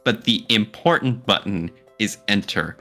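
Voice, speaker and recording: A man with a low, bright and forceful voice chats as a podcast co-host over music. His delivery is casual and joking, with an animated, teasing streak that likes to argue a point. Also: unhurried. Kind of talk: casual, joking opinion talk.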